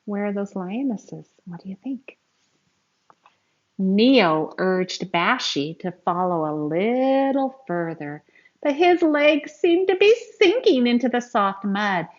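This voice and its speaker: A woman reading a picture book aloud to young children in an expressive, teacherly way, speaking to them directly as she goes. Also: medium pitch, takes it slowly, muffled recording. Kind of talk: read-aloud storytelling with asides to young listeners.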